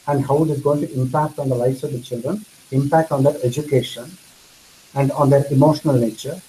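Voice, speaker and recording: A man's voice: medium pitch, warm tone, a little hiss or hum, rough recording. A man whose first language is Tamil, lecturing earnestly to an audience and repeating phrases for emphasis. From India. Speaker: speaker. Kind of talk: lecture answering questions.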